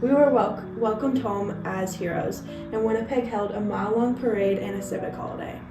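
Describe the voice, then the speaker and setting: A woman's voice: mid-range voice, moderate pace, natural voice. A young woman playing a proud male hockey team captain in a scripted mock interview, earnestly reading prepared answers. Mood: earnest pride. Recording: roomy echo, far mic, music behind.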